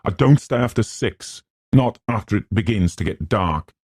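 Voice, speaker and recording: A male narrator puts on a stern housekeeper woman in a gothic story. The delivery is cold, rigid recitation, raised in pitch from his own voice and recorded dry. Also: expressive, normal speed, darkish tone, breathy edge, no echo.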